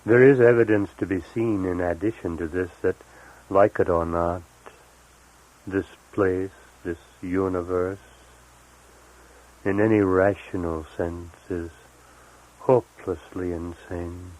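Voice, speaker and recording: A man reading poetry as a poet, in deliberate, measured phrases broken by pauses. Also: deep voice, steady tone, a little mellow, rough recording. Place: live recorded reading, 1978.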